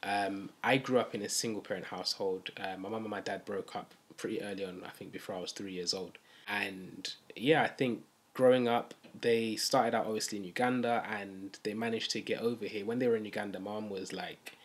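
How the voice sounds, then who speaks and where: A man's voice: lowish voice, fairly even intonation, fairly quick, hardly any pauses. A young man with a London accent, talking casually as co-host of a video. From East London, UK. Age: young adult. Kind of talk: casual conversational talk. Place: small furnished room, indoors.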